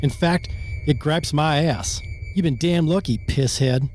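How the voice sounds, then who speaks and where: A small-town sheriff in a radio play, acted by a man, speaking in a fairly high male voice. He is gruff, sarcastic and openly threatening. Faint music runs behind him. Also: a little slow, darkish tone, natural voice. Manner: sardonic, threatening. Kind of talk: amateur radio play reading.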